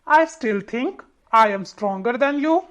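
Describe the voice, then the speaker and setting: A woman's voice: fairly high pitch, expressive, a little slow, a bit bright, highs cut off, pitched below the performer's own voice. A woman voicing a proud, boastful male wind in a children's storybook, with a challenging manner.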